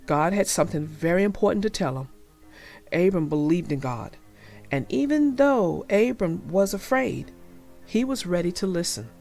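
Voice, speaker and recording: A woman narrating a children's story as a scripted read-aloud, in a deliberate, expressive way. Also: fairly low pitch, soft background music.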